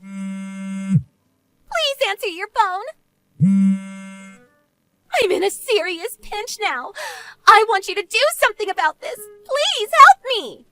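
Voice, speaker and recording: A woman's voice: extremely high pitch, sing-song, slow, pauses often, bright, forceful tone, faint music behind, exaggerated voice. A woman playing a spoiled, arrogant banker's wife in melodramatic scripted story dialogue, desperate and whiny. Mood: desperate pleading. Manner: whiny, haughty, pleading.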